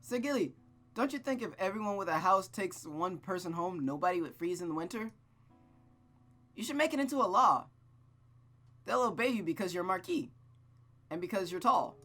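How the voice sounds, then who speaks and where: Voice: mid-range voice, animated, moderate pace, long pauses, fairly bright tone, faint music behind. A performer voicing a precocious, affectionate and cheeky little girl from a visual novel. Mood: eager, cheeky.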